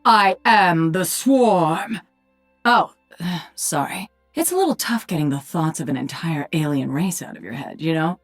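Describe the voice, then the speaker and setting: A sardonic psionic warrior queen from a video game. Her voice is low and husky, with a dry, commanding manner. Music plays behind her. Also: expressive, unhurried. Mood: menacing, sardonic. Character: sardonic psionic alien-hybrid warrior queen, adult woman. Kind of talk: video game character voice lines.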